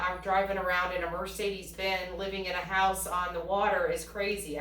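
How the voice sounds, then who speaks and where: A woman's voice: medium pitch, a bit bright. A woman giving earnest, emphatic interview answers, often building them in repeated phrases. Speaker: online video creator, interviewee. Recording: roomy, distant mic, faint noise.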